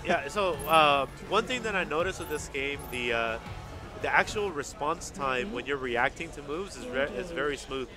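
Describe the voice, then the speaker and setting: A man's voice: a little high, animated, moderate pace. A man with a slightly nasal, under-the-weather voice, hosting a casual livestream interview in an informal, affirming way. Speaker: event host interviewing a developer. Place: gaming event venue. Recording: music behind the voice. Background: music.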